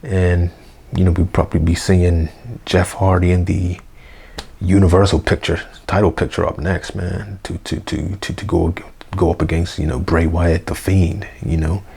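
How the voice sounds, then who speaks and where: A young man, hoarse, rambling through a casual unedited review vlog with lots of fillers and slang. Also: sits low, flat delivery, normal speed, darkish tone. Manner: rambling, full of fillers like "you know" and "man". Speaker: wrestling review vlogger. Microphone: basic mic, plans a new one.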